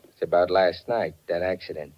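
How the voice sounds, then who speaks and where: An actor playing a menacing blackmailer on the run in 1940s radio style, curt, slangy and tough-talking. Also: male voice, low voice, fairly even intonation, moderate pace, natural voice. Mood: sly, threatening. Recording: dull, band-limited 1940s radio recording.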